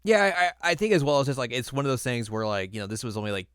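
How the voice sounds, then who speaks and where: A man's voice: medium pitch, very animated, racing, clear, resonant tone, no echo. A man co-hosting a podcast, chatting casually and informally about films with some dry humor. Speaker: podcast co-host. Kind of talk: casual film review chat.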